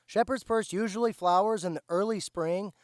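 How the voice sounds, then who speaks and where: A slightly hoarse man, patiently explaining step by step as he teaches plant identification outdoors. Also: high-pitched, normal speed, no room sound, clean studio sound. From central Indiana, USA. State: slightly hoarse, recovering from lost voice. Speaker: host, foraging teacher. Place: outdoors by a driveway.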